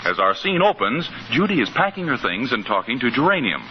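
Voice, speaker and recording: A man's voice: mid-range voice, sing-song, a little fast, slight background noise. A man announcing a 1940s radio show in period broadcast style. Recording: muffled 1940s broadcast, loud music behind.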